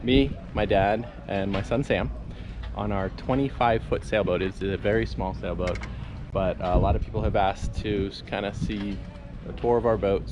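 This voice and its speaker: A man in his forties chatting casually to an action camera held at arm's length, giving an upbeat walkthrough with plenty of fillers. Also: sits low. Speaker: sailing vlogger. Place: outdoors by harbor and on small sailboat. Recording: wind noise on camera mic.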